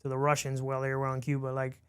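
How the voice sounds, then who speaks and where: A man's voice: mid-range voice, monotone, fast talker, clear, resonant tone. A man from Miami hosting an interview, chatty and curious. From grew up in Miami among Cuban families. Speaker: podcast host. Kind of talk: casual interview questions.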